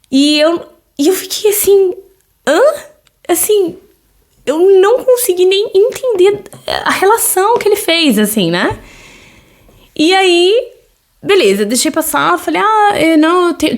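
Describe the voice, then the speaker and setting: A Brazilian woman in her thirties hosts a solo podcast in a Brazilian Portuguese accent. Her voice sits a little higher than most women's. She talks loosely and conversationally, stopping often for fillers and checking in with tag questions. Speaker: podcast host.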